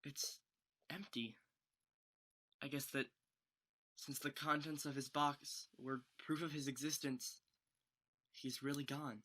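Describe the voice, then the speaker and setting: A woman's voice: very deep voice, fairly even intonation, slow, pauses often, fairly bright tone, heavily acted. A young woman playing an anxious, earnest teenage best friend in a student stage play, flustered and stammering. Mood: tender. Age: young adult. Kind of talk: student one-act stage acting.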